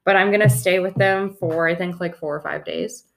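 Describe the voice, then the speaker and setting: A woman's voice: fairly low pitch, fairly even intonation, normal speed, gravelly. Chatty woman with a stuffy, nasal voice. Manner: chatty, laughs often, many fillers. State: nasal from a head cold. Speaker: podcast co-host. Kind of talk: casual chat.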